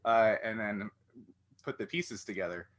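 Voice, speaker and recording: A man's voice: lowish voice, unhurried, a bit bright, no room sound. A man hosting a casual podcast interview, talking in a rambling way that often interrupts itself.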